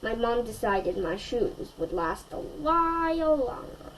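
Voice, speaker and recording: A woman storyteller reading a children's picture book aloud in an expressive way, addressing young listeners. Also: a little high, takes it slowly, a little hiss or hum, low-quality recording.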